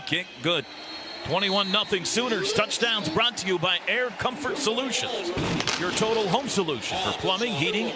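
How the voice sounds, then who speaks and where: A man doing live radio play-by-play of a football game in clipped phrases that build into excited calls. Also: fairly high pitch. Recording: loud stadium noise behind the broadcast voice. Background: stadium crowd and ambience.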